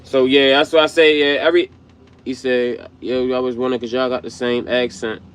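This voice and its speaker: A man's voice: mid-range voice, little pitch movement, fairly quick, fairly bright tone, clean tone. A young adult man from Baltimore with a Baltimore accent, hosting his channel in a loose, slangy, profane commentary monologue. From Baltimore, USA. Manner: loose slangy rambling, frequent "you know what I mean"; profane, emphatic. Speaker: channel host.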